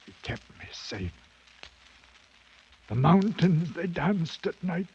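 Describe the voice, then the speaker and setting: An actor playing a half-mad British ex-soldier, a haunted survivor, halting and rambling, in 1950s radio drama style. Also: male voice, on the high side. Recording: muffled, noisy 1950s radio broadcast.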